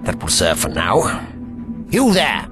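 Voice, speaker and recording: An actor playing an eccentric, courteous but bossy British time traveller in an audio drama, with old-fashioned polite phrasing. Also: male voice, low-pitched, huge pitch swings, takes it slowly, over music, heavily acted. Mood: calm, soothing.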